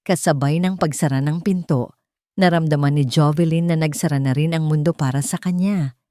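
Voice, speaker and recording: A woman's voice: low-pitched, moderate pace, warm tone, no echo, clean studio sound. A woman storyteller delivering dramatic scripted narration of a love story.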